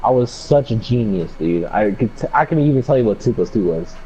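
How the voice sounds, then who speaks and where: A man's voice: on the low side, animated, a little fast, dark tone, faint music behind. A young man telling a personal story casually in a laid-back, slangy way with some swearing. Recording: dull lo-fi mic with background noise.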